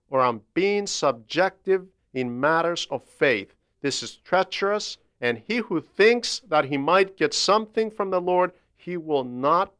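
Slow, clear-voiced man reading a homily. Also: fairly high pitch, a bit bright, dry recording, studio quality. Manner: deliberate, emphatic, didactic with measured pauses. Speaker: translator reading a homily aloud. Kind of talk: religious homily, read aloud.

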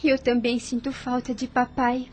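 A woman's voice: fairly high pitch, flat delivery, normal speed, a little mellow, slight background noise, natural voice. A woman playing an anxious, dutiful young orphaned niece in a period radio soap, speaking formally and earnestly. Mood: gentle, consoling. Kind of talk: radio soap opera acting.

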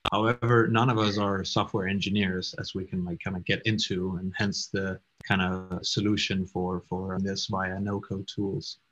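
A young man from Denmark, a startup co-founder talking casually in an interview, quick and stumbling over repeated words. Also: sits low, level tone, a bit bright. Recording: dull lo-fi audio.

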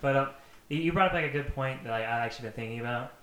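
A man's voice: mid-range voice, racing, over a noisy crowd. A man co-hosting a podcast in casual, loosely phrased conversation, peppered with filler words. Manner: conversational, frequent use of "like". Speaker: podcast co-host. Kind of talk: casual panel chat. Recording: echoey room with faint noise.

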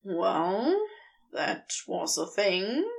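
A woman voicing a wary, wry male mage who speaks softly and guardedly. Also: mid-range voice, sing-song, extremely slow, creaky, pitched below the performer's own voice, full character voice. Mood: dazed. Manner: muttering, soft and guarded.

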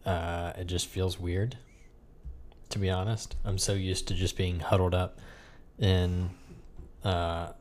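A man's voice: sits low, level tone, slow pace, a little mellow, a little hiss or hum. A man hosting a casual podcast, chatting in a joking, sarcastic way with his co-host. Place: room with another person.

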